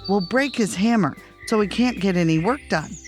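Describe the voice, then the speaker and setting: A narrator voicing a plucky, scheming little male chipmunk in a children's storybook, excitable and emphatic. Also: mid-range voice, normal speed, soft background music, voice pushed up, close to an everyday voice. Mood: confident, cheering.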